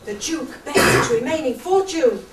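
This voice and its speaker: A woman playing a proud, excitable relative in an amateur pantomime, declaiming lines on stage. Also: sits high, moderate pace, over a noisy crowd. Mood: dramatic. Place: theatre or hall stage with audience. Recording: echoey hall, distant mic, noisy background.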